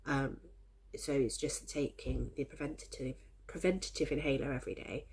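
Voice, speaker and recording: A woman's voice: very deep voice, fairly even intonation, moderate pace, a little mellow, breathy edge. A woman with a head cold chatting cheerfully and ramblingly to her viewers in a casual crafting vlog, a little congested and coughy. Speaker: crafting vlogger. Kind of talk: casual chat to camera.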